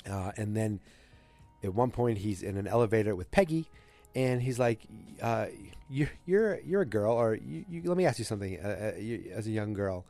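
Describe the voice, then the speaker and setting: Male podcast host, fighting a cold, dark and low, casual and digressive. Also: animated, normal speed, soft background music. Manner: rambling, digressive, self-correcting. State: has a cold. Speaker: podcast host recapping a TV episode. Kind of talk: casual solo recap.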